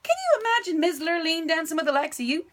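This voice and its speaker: A woman's voice: very high voice, sing-song, fast, voice pushed up, full character voice. A woman voicing mean, bossy young cowboy stepbrothers in a storybook, barking orders. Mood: mocking. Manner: loud, barking, mocking.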